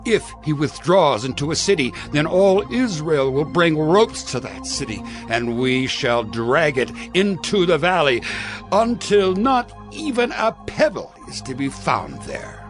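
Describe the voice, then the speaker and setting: Persuasive old-testament royal advisor, slow grand speechy delivery, pitch swings around, music behind. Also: male voice, very animated. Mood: grave, persuasive. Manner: slow, emphatic, oratorical. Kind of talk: dramatized audio Bible acting.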